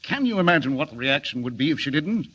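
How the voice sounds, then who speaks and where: An actor playing an irritable, harried railroad superintendent with a blustering, abrupt manner, in 1940s radio serial style. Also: male voice, a little high, sing-song, fast talker, fairly bright tone, husky, exaggerated voice. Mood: anxious, exasperated. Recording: muffled, noisy vintage 1940s radio recording.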